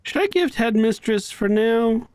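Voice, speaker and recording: A man's voice: extremely high pitch, lively intonation, a little slow, darkish tone, no echo. A man giving casual, playful video game commentary, explaining things expressively as he plays. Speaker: video game player and host.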